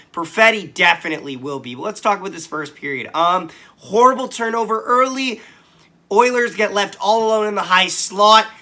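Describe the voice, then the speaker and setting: A man with a slightly scratchy voice hosting a sports podcast, recapping a hockey game in an animated, informal way and often interrupting himself. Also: high voice.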